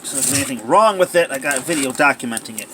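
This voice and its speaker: A man's voice: mid-range voice, lively intonation, rapid-fire, background noise. A man talking casually and off the cuff as he narrates an unboxing video, recorded indoors at home on a handheld cell phone. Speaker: amateur unboxing video maker.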